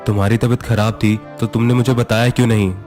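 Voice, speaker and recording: A man's voice: mid-range voice, level tone, fast, over music, close to an everyday voice. A man voicing a proud young husband. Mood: concerned. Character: proud young husband, gruff then tender. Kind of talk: storybook voice acting.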